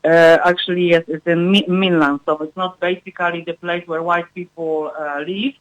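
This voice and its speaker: A woman being interviewed in a live radio chat, speaking haltingly as she searches for words in fragmented English. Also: fairly low pitch, level tone, no room sound, low-quality recording. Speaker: guest music researcher and filmmaker.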